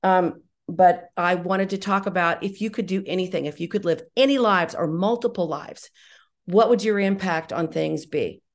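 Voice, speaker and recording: Low-voiced middle-aged woman, enthusiastic. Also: moderate pace. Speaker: podcast guest, audio drama writer-director. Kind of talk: conversational interview answers.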